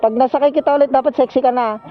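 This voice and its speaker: A man's voice: extremely high pitch, steady tone, very fast. A man chatting casually and teasingly as a motorcycle taxi vlogger, recorded on a helmet intercom outdoors. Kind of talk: casual vlog chat. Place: outdoors, riding a motorcycle in traffic. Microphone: helmet intercom headset. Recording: tinny intercom mic, loud road noise.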